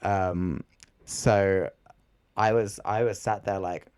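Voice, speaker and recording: A man's voice: low voice, steady tone, slow, a little mellow, clean tone. A young man co-hosting a podcast, talking in a rambling, laid-back way with lots of fillers. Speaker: podcast co-host. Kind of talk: casual chat.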